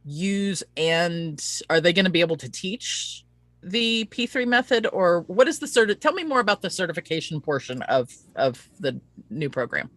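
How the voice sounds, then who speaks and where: A woman's voice: lowish voice, sing-song, moderate pace, fairly bright tone, no echo. A woman hosting a conversational interview, talking in an emphatic way and given to tangents. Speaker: interview host.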